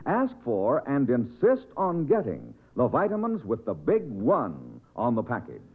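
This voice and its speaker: A man's voice: mid-range voice, wildly swooping pitch, a little slow. An American man announcing in the emphatic, persuasive style of a 1950s radio commercial. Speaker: radio announcer and sponsor spokesman. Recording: narrow, rough vintage radio transcription.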